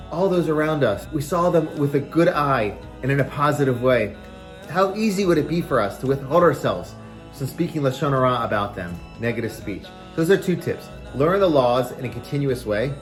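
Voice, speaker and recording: A man speaking as a rabbi giving a short, explanatory religious lesson, stressing key phrases in a didactic way. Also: medium pitch.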